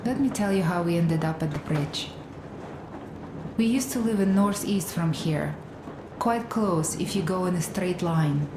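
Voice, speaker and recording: A woman playing a weary, grieving mother in a video game cutscene, telling her story in a halting, matter-of-fact way. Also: lowish voice, close to an everyday voice. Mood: weary, bitter. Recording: roomy sound with clear ambient noise.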